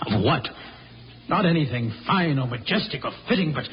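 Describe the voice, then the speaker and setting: An American actor playing a grave, idealistic and bitterly ashamed spaceman in 1950s radio drama, speaking haltingly and with passion. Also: fairly high pitch, expressive, slight background noise, close to an everyday voice. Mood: bitter, impassioned. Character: idealistic, brooding spaceman, bitterly ashamed of his own kind. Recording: muffled old radio recording, faint music.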